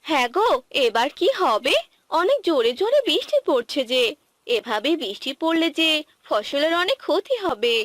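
A woman voicing a worried, caring, expressive young farmer's wife in a cartoon. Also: high-pitched, moderate pace, dry recording. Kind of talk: Bengali cartoon dialogue dubbing.

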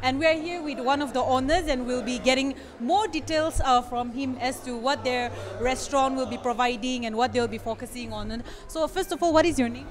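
A young woman reporting from a restaurant holds a handheld mic. Her voice is high, with faint music in the background. She speaks loosely, leaning on fillers as she asks her questions. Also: brisk, hardly any pauses. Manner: filler-heavy, conversational. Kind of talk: field news interview. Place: indoor restaurant.

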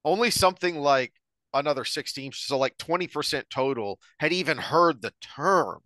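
An American man co-hosting a podcast, discussing ideas earnestly in a deliberate, emphatic way. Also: fairly high pitch, animated, bright, forceful tone, no echo. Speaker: podcast co-host. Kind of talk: earnest discussion of politics and philosophy.

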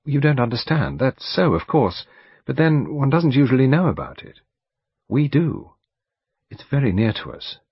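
A man's voice: mid-range voice, very animated, moderate pace, breathy edge, no echo, voice pushed up. A man reading an audiobook, voicing an earnest, determined young woman who speaks insistently. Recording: dull lo-fi recording.

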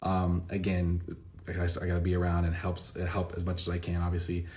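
A man in his thirties with a low voice chats straight into a phone held close. He rambles, restarts sentences and leans on fillers. The sound is from a bright room at home. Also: completely flat delivery, moderate pace. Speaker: vlogger answering viewer questions. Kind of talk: casual to-camera chat.

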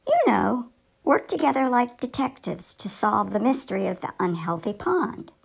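Woman as a keen, creaky-voiced schoolgirl puppet. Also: fairly high pitch, big pitch swings, normal speed, full character voice, rough recording. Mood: earnest. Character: Maya, keen studious fifth-grade schoolgirl puppet. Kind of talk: scripted children's puppet show.

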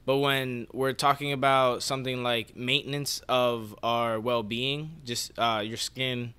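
Young guy hosting a podcast, crisp bright voice, just chatting. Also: medium pitch, steady tone, a little slow. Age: young adult. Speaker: podcast host. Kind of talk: casual podcast discussion. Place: small living room, indoors. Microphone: podcast microphone.

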